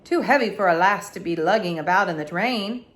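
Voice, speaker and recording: A woman's voice: mid-range voice, lively intonation, brisk, a bit bright, lightly acted. A woman doing a blunt male country constable. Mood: offhand. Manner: dismissive, offhand.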